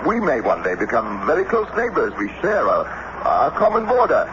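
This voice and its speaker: Wildly expressive, excitable statesman. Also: medium pitch, moderate pace. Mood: excited. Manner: theatrical, stammering when flustered. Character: excitable, shrewd statesman husband in colonial-era drama. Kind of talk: old-time radio drama acting. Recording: muffled, noisy vintage radio recording.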